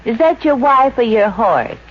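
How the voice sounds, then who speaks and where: Lively wisecracking American showgirl, old radio. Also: on the high side, unhurried, slight background noise. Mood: wry. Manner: wry, slangy, wisecracking. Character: wisecracking young Brooklyn showgirl, friendly and streetwise. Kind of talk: 1950s radio comedy acting. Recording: muffled old radio recording with music.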